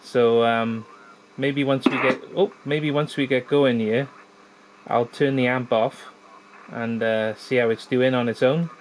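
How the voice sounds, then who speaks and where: A man talking casually and in a measured, easygoing way as an amateur radio operator. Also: medium pitch, fairly even intonation, rough recording. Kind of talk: casual ham radio chat with call signs. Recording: faint radio hiss in the room.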